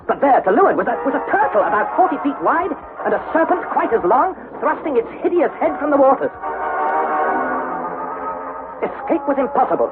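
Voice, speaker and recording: An actor playing an earnest young adventurer, narrating perils theatrically in a vintage radio drama. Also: male voice, extremely high pitch, very animated, quick pace, hardly any pauses. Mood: tense, urgent. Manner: theatrical, suspenseful. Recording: narrow-band vintage radio broadcast, music behind.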